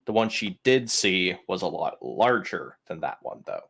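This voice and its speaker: A man's voice: sits low, sing-song, normal speed, creaky, fairly bright tone, slightly rough. A man casually recapping a tabletop role-playing session as one of the players. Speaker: player in an online tabletop RPG.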